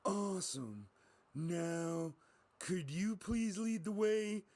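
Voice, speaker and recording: A man's voice: high-pitched, expressive, a little mellow, exaggerated voice. A man voicing a wisecracking young woman adventurer who jokes her way through things. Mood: flippant. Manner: joking, quick, flippant.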